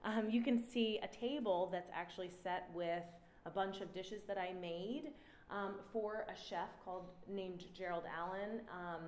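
A woman in her thirties giving an artist talk at a standing mic, relaxed and reflective, pausing often with "um". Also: mid-range voice. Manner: relaxed, reflective, many "um" pauses. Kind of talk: presenting her artwork with slides. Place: indoor gallery room before an audience. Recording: roomy gallery sound, faint noise.

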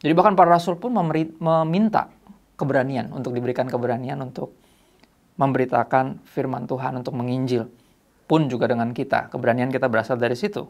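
A man in his thirties hosting a youth devotional show, explaining Bible passages in a relaxed teaching manner and reading verses aloud. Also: fairly high pitch. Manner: reads scripture aloud between casual remarks. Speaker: host. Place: small dark studio set, indoors.

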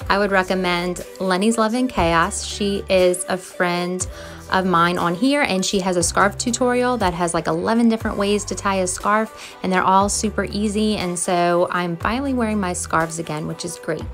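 A woman's voice: lowish voice, normal speed. A middle-aged American woman giving friendly, encouraging voiceover narration as a home and cleaning vlogger, in a confiding way. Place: voice-over recorded in a closet at home. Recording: music playing under the voice. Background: background music.

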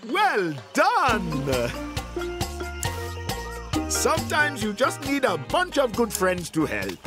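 A woman narrating a preschool cartoon in an expressive storytelling style, with simple, deliberate phrasing. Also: on the high side, loud music behind the voice.

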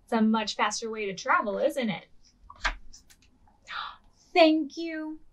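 Higher-pitched woman reading to preschoolers. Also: slow, a little hiss or hum. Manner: expressive, with questions addressed to small children. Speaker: children's librarian hosting a virtual storytime. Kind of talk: read-aloud and instructions for preschoolers.

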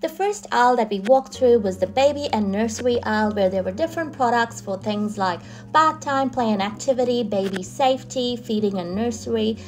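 A woman's voice: a little high, brisk, hardly any pauses, darkish tone, faint music behind, poor audio. A young adult woman narrating a casual shopping tour as a vlogger, chatty and upbeat as she points out products and prices. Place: in a car on the motorway.

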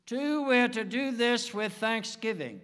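An American man in his seventies preaching a sermon from a pulpit in a deliberate, emphatic manner with dramatic pauses. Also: very high-pitched, lively intonation, fairly bright tone, smooth. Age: 70s. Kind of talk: sermon with anecdotes. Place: church sanctuary, speaking from the pulpit. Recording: reverberant church hall, long echo.

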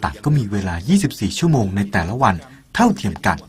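A man's voice: lowish voice, sing-song, quick pace. A man narrating a parable in an earnest, persuasive way and speaking directly to the listener. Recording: soft music behind the voice.

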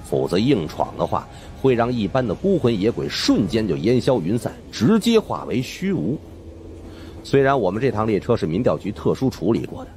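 A man narrating a suspenseful audiobook story in an expressive, dramatic first-person style. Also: on the low side. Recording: faint music behind the voice.

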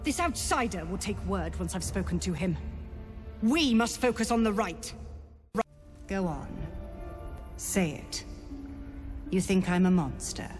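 A stern elf druid woman from a fantasy game , played by an actress, commanding and ruthless. She speaks slowly, with wide swings of pitch, in a long reverb over music. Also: animated, darkish tone, natural voice. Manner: imperious, commanding, deliberate. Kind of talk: dramatic fantasy game acting.

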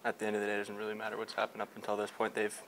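Young man with a flat, halting delivery. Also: lowish voice, slight background noise. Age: young adult. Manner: hesitant, many fillers and restarts. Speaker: hockey player. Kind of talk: post-game media scrum. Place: team locker room. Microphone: several reporters' handheld mics held close.

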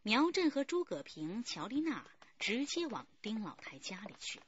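A woman narrating a radio drama from a script in a husky voice. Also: on the high side, big pitch swings, moderate pace, lo-fi sound, noisy background.

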